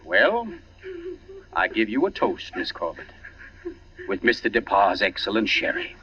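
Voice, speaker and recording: A flamboyant, ruthless sleuth in an old radio drama. The voice is husky and sharp, taunting and pompous, with sweeping pitch. It sounds muffled, as on an old broadcast. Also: male voice, lively intonation, a little slow, exaggerated voice. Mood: suave, mocking. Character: flamboyant, ruthless male amateur sleuth accusing a murderess. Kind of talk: 1940s radio acting.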